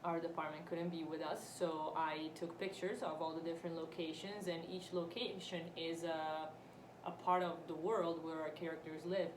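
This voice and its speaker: An Italian-accented woman with a fairly low voice, talking in a lively, eager way and restarting her sentences often. She is recorded in an echoey room with steady background noise behind her. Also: moderate pace, hardly any pauses. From Italy (Vicenza, Veneto). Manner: animated, restarts often, laughs easily. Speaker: guest, actor and film producer. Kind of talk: casual interview chat.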